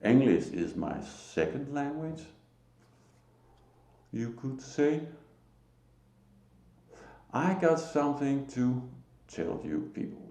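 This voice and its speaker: Slow, gravelly Danish-accented man preaching. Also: mid-range voice, big pitch swings, mellow, echoey room. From Denmark. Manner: slow, halting, emphatic, with short laughs. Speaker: lay preacher. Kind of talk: solo religious talk to camera.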